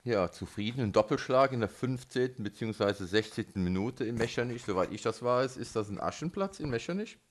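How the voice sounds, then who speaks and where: A man's voice: medium pitch, expressive, a little fast, few pauses. A man hosting a local radio sports interview, putting questions as the interviewer.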